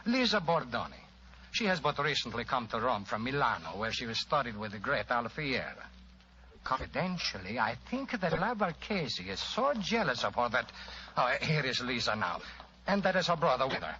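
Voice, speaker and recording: A courtly police inspector with an Italian accent, florid and effusive. His bright, highish voice swings widely in old radio drama fashion, on a dull recording with faint noise. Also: sing-song, normal speed. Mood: fond, excited. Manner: formal, florid, hesitant politeness.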